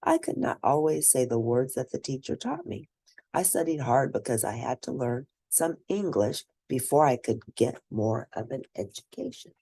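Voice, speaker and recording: A woman reading a scripted first-person story aloud, expressive and deliberate, with a husky, gravelly voice. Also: very deep voice. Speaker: read-aloud narrator.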